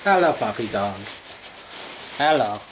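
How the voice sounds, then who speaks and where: A man's voice: mid-range voice, expressive, a little slow, rough recording. A man talks casually to his camera at home. He rambles, restarts, swears a lot and jokes at his own expense. A faint background hiss sits under his voice. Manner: rambling, sweary, self-mocking. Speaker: vlogger. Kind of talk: casual vlog chat.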